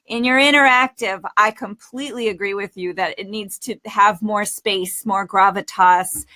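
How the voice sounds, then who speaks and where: A woman who coaches voice acting, hosting a casual webinar chat over a video call, talking in a chatty, animated way. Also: mid-range voice, normal speed.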